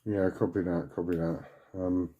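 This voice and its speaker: Very dark, low-voiced man. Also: fairly even intonation, normal speed, lo-fi sound. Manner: musing, thinking out loud. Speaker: CB radio hobbyist asking for advice. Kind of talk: casual chat over CB radio.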